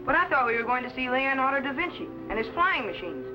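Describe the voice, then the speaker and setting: A man's voice: extremely high pitch, big pitch swings, fast talker, over music, poor audio. A young male actor playing an eager, awestruck boy assistant with an earnest, deferential manner in a 1950s sci-fi serial. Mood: amazed. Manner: earnest, wide-eyed, deferential. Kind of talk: 1950s science fiction serial acting.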